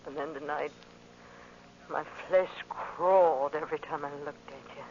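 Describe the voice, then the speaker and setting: An actress playing a desperate, vengeful woman in a 1940s radio drama, with a halting, intense, melodramatic delivery. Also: deep voice, fairly even intonation, a little mellow, slight background noise. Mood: bitter, despairing. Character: desperate, vengeful woman luring a man to die. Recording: muffled old radio recording with music.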